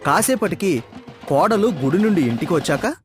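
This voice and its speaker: Male storyteller, fairly high voice, scripted moral-tale narration over a music bed. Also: very animated, moderate pace.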